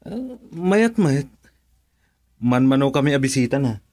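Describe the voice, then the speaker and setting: Jovial husband a few drinks in, Ilocano accent, unhurried drawl, radio drama. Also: male voice, medium pitch, very animated, a little mellow. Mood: tipsy, casual. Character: easygoing, tipsy husband home late from drinking.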